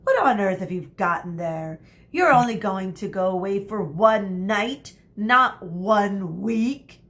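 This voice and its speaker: Lady reading as a crabby bossy teacher woman, slow barked orders, storybook style. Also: medium pitch, lively intonation, fairly bright tone. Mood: sarcastic, scolding. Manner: barking, scolding, drawn-out emphatic commands. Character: snappish, bossy, weary woman schoolteacher.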